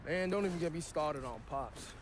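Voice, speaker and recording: A man playing a brash, joking young American crook who teases loudly and swears freely in a game cutscene. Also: fairly high pitch, expressive, moderate pace, background noise, close to an everyday voice. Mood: teasing. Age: young adult. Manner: teasing, loud, profane.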